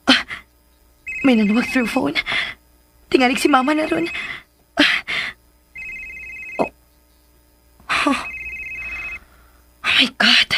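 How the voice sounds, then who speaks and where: A woman's voice: a little high, noisy background. A woman with a Cebuano accent playing a stressed young professional daughter in a radio drama.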